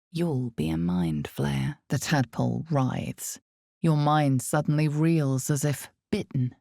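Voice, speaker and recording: A woman voicing the omniscient narrator of a dark fantasy game, grave and ominous, with measured delivery and dramatic pauses. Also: dry recording, studio quality, exaggerated voice. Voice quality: creaky, low, mellow. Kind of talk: dramatic scripted second-person fantasy narration.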